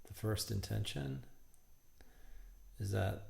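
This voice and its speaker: A man leading a guided meditation in a calm, measured way, in short phrases with long pauses. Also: fairly low pitch, vocal fry.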